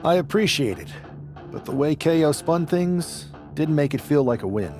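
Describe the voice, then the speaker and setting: An actor playing a plainspoken, doubtful rising wrestler in video game cutscene dialogue. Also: male voice, a little high, expressive, normal speed, darkish tone, faint music behind.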